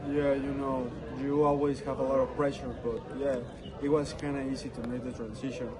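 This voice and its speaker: A man with a middle-pitched voice giving conversational interview answers. His non-native English comes out hesitantly, over the noise of a busy crowd outdoors. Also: little pitch movement, a little fast, rough recording. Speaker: interview guest.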